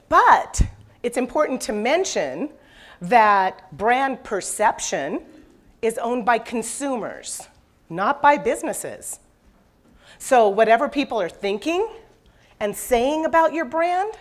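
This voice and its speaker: An American woman from Colorado giving an informal, animated business presentation on stage, engaging her listeners with questions and stories. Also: on the high side, slow pace. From Denver, Colorado, United States. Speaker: motivational business speaker, branding expert. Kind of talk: live presentation with storytelling. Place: live event stage with an audience.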